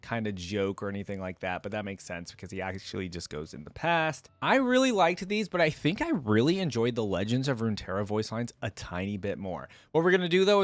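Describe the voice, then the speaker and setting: A man's voice: medium pitch, dry recording. A man hosting a reaction video, giving casual off-the-cuff commentary as he goes.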